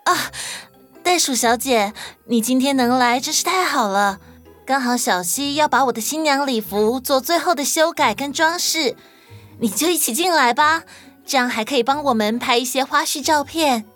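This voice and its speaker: Woman acting high-voiced sweet young bride, gentle, earnest, children's animal fable, soft music behind. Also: moderate pace. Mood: welcoming. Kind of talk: children's audio story acting.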